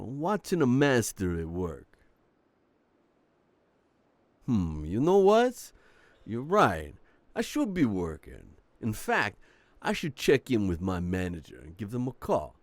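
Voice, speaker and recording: A man's voice: medium pitch, dry recording. A man playing a charming, cocky young mafia boss in a romantic audio roleplay, teasing and playful as he talks. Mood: sheepish, playful. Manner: playful, teasing, smooth-talking with drawn-out words.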